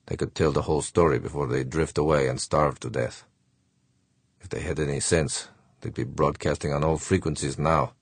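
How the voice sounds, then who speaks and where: A man voicing a cold, calculating, menacing killer in a measured way, for a space adventure audiobook. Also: rumbling low, steady tone, breathy edge, dry recording, exaggerated voice. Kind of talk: audiobook character thoughts.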